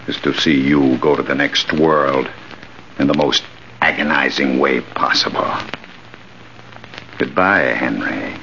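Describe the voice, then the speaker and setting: An actor playing a vengeful, gray-haired ex-convict with a deliberate, sardonic, taunting manner in an old radio thriller. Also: male voice, very deep voice, sharp tone, full character voice. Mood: bitter menace. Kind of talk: 1940s radio thriller acting. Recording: muffled, noisy old radio recording.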